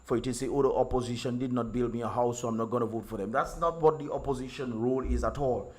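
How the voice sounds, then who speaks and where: A hoarse man, chatting casually to his livestream viewers in a rambling way full of ums and repeated words. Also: medium pitch, steady tone, fast talker. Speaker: livestream host and singer.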